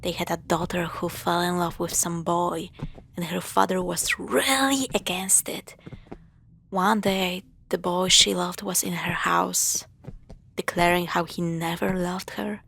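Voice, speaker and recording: Slow-talking woman acting a teasing young girlfriend. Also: on the low side, fairly even intonation, gravelly, a bit bright, breathy edge, exaggerated voice. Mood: hushed storytelling. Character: teasing, affectionate young girlfriend exploring a spooky place. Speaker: audio roleplay voice actor. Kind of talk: intimate scripted audio roleplay.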